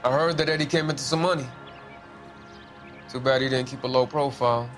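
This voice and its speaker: A man's voice: mid-range voice, fairly even intonation, brisk, a bit bright, faint music behind, close to an everyday voice. An actor playing a defiant, wary Mexican-American ex-serviceman in a 1940s crime video game, streetwise and guarded. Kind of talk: dramatic video game acting.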